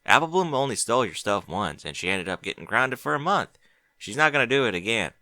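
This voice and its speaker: A man's voice: mid-range voice, sing-song, fairly quick, bright, forceful tone, dry recording. A man voicing a plain-spoken female farm pony, earnest and casual, in a fan fiction audiobook. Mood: indignant.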